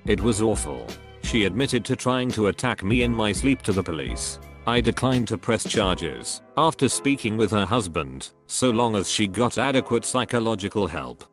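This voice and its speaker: A man with a fairly low voice reads first-person stories aloud as a narrator. His delivery is steady and even, with soft music under it. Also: moderate pace. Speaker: narrator reading online stories.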